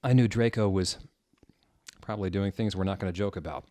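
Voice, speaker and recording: Low-pitched man, dry close sound, theatrical. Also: fairly quick, dark tone, dry recording. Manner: theatrical, wry. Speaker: host reading fan fiction aloud. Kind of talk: dramatic comedic reading with casual asides.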